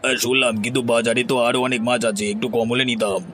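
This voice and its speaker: A man's voice: medium pitch, steady tone, rapid-fire, a bit bright, a little hiss or hum, natural voice. A man playing a blunt, bargaining villager in a cartoon, informal and matter-of-fact. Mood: haggling. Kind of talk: cartoon voice acting.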